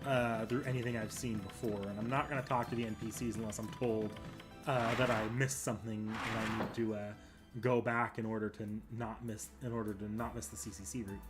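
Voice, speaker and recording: A man's voice: lowish voice, fairly even intonation, brisk. A man hosting a livestream, chatting casually with viewers and rambling as he thinks aloud. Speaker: livestream host. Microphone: desktop mic at a computer. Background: music.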